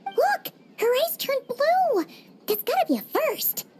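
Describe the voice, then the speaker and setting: A woman voicing a tiny excitable female floating companion in a video game, chirpy and quick to exclaim, with game music behind her. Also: extremely high pitch, very noisy, exaggerated voice. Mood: excited. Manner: chirpy, quick to exclaim, talks about herself by name.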